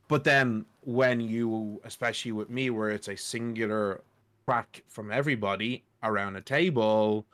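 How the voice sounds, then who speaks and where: A man with a naturally loud voice, chatting casually and ramblingly in a podcast roundtable about editing. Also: mid-range voice. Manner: chatty, rambling, with self-deprecating asides. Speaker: podcast host and editor.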